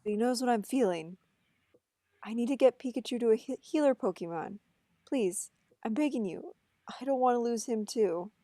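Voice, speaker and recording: A woman's voice: fairly high pitch, moderate pace, mellow, dry recording, clean studio sound, lightly acted. A woman playing an earnest, desperate young man in a table read of a film script, pleading with emotion.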